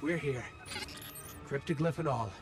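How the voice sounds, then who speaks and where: An actor playing a small, anxious and loyal robot companion in a video game. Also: male voice, mid-range voice, unhurried, natural voice. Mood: relieved. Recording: loud music and action sounds behind.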